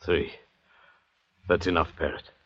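An actor playing a cunning, controlling doctor adrift at sea in an old radio adventure drama, speaking with measured theatrical authority. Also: male voice, low-pitched, level tone, fairly bright tone, husky, no echo, heavily acted. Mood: persuasive, wary. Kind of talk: 1940s-50s radio adventure drama acting. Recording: dull vintage radio recording.

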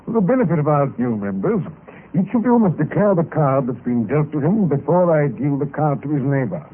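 Villain for radio mystery: a formal, cold-blooded club president, commanding, animated pitch, narrow old-broadcast sound. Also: male voice, brisk, husky. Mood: coldly formal. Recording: muffled, noisy old radio recording.

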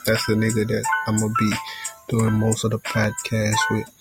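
A man's voice: fairly low pitch, completely flat delivery, normal speed, loud music behind the voice. A young man from Jamaica hosting a casual solo podcast intro in a laid-back, rambling way, often saying "you know what I'm saying". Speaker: podcast host.

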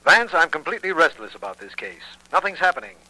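Restless district attorney, 1940s radio drama. Higher male voice, very bright, urgent, telephone-filtered. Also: very animated, fast talker, exaggerated voice, low-quality recording. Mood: restless, anxious. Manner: urgent, fretful.

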